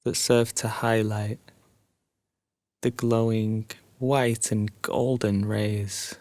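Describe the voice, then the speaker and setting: A man guiding a sleep meditation as a narrated story, soothing and hushed, leaving long pauses between phrases. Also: on the low side, flat delivery, dry recording.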